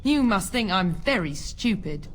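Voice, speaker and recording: Actress doing a bitter poor mum in a game, scornful and accusing, noisy background. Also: female voice, medium pitch, animated, unhurried, lightly acted. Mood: angry contempt. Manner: scornful, accusing, working-class phrasing. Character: bitter, poor, scornful mother of five, commoner. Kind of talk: video game voice acting.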